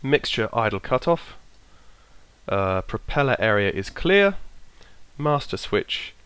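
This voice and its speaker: Croaky-voiced man muttering through a checklist. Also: fairly low pitch, big pitch swings, takes it slowly, fairly bright tone, no room sound. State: croaky from a cold. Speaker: flight sim streamer. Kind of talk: casual narrated walkthrough.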